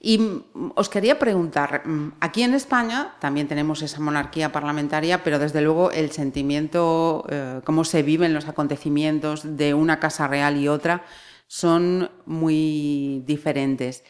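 A woman's voice: low voice, normal speed. A woman hosting a radio interview, prompting her guest and supplying words he is looking for. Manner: supportive, finishes the guest's sentences. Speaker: radio host.

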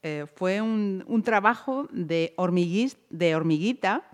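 A woman interviewer asking a musician about his career in a friendly, measured way. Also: on the low side, expressive. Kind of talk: radio-style interview.

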